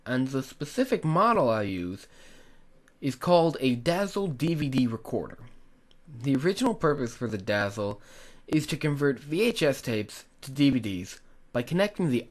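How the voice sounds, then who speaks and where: Male tutorial host, scripted and earnest, very lively intonation at a medium pitch. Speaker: tutorial host, hobby video creator.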